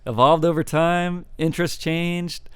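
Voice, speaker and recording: A young man with a resonant baritone voice talks casually as a guest. He rambles in a relaxed way, pausing with fillers. The sound is dry and close, with no room around it. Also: clean tone, no room sound. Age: young adult. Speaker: musician guest. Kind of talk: casual interview chat.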